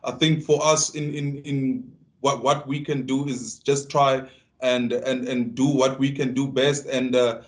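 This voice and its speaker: Flat-voiced South African rugby player, halting. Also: male voice, mid-range voice. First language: Tsonga. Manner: halting, many restarts and repeated words. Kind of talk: press conference answers.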